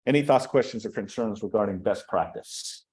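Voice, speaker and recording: Animated man giving a stage talk. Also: lowish voice, moderate pace. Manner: animated, addresses the crowd with "folks" and "right?". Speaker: real estate broker giving a short talk. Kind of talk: energetic stage talk to an audience.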